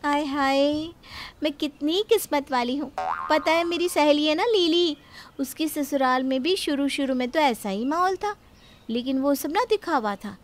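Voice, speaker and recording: A woman's voice: sits high, unhurried, a little hiss or hum, voice pushed up. A woman voicing a young daughter-in-law in a moral story, meek, sweet, polite and eager to please. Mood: feigned delight. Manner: pleading, soft-spoken politeness. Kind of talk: acted Hindi moral-story dialogue.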